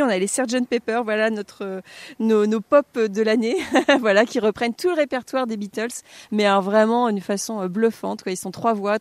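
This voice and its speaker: A woman's voice: medium pitch, darkish tone, dry recording. Hesitant woman festival director, very dry. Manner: hesitant, many fillers and restarts. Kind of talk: radio interview.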